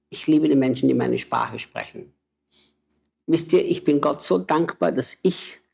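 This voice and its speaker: A man's voice: mid-range voice, creaky, darkish tone, slightly rough. A man, a missionary preacher and native German speaker, talking with emotional, urgent exhortation in a conversation.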